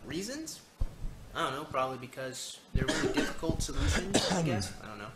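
A man's voice: mid-range voice, sing-song, brisk. A man giving rambling video commentary, hesitant and often digressing. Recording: echoey, noisy homemade video.

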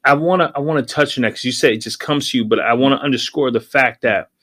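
A man's voice: medium pitch, fast talker, a bit bright. Emphatic, slangy male podcast host. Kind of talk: casual conversational podcast interview.

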